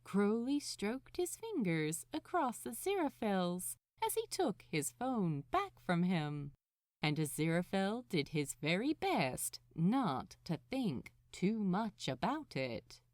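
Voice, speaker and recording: Female story narrator, very animated intonation, measured pace, close dry sound. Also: medium pitch, no echo. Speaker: podfic narrator. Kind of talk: expressive scripted fiction narration.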